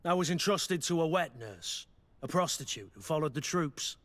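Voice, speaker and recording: An actor playing a gruff, loyal mercenary master of arms in a video game, brooding, plain-spoken and sardonic. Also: male voice, on the high side, animated, moderate pace, fairly bright tone. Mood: wistful. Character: gruff, battle-worn male mercenary master of arms, guilt-ridden, loyal. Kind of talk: video game dialogue acting.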